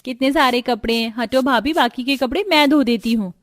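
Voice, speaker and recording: A woman's voice: high voice, a little fast, no room sound, studio quality, voice pushed up, natural voice. A woman voicing a doting, eager young sister-in-law in a cartoon, caring and insistent. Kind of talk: Hindi cartoon story dialogue.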